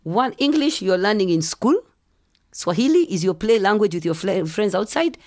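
A middle-aged woman from Kenya, telling lively stories as a podcast guest and acting out the voices of people she quotes. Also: medium pitch, moderate pace. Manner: animated storyteller, acts out quoted voices. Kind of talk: casual storytelling interview.

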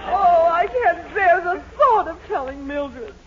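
Melodramatic middle-aged wife, scolding, high-pitched, 1940s radio sitcom, muffled noisy recording. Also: female voice, expressive, takes it slowly, a faint crowd, exaggerated voice. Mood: weepy, remorseful. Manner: domineering, scolding, theatrical.

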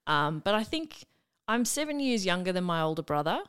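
A young adult woman from Melbourne with an Australian accent, a comedian and podcaster chatting casually as a guest, with quick self-deprecating asides. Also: fairly low pitch, very animated, fairly bright tone, clean tone. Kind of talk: casual chat.

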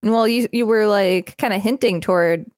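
A woman's voice: medium pitch, moderate pace, darkish tone, dry recording, studio quality. A woman podcast co-host reading encyclopedia material aloud and chatting casually between passages.

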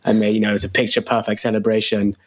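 Flat-voiced man with a stuffy cold. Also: lowish voice, very fast. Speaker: podcast co-host. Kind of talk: casual football podcast chat.

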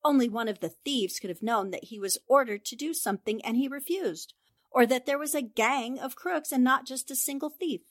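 A woman acting a sharp, confident young newspaperwoman in a 1940s-style radio drama, crisp and assured as she reasons things out. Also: high-pitched, a little fast. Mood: coolly accusing. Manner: crisp, assured, explaining deductions.

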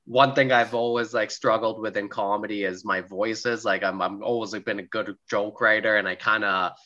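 A man hosting an interview, asking questions in a relaxed, hesitant way with plenty of fillers. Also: lowish voice, moderate pace, dull audio. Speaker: podcast host. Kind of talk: casual interview chat.

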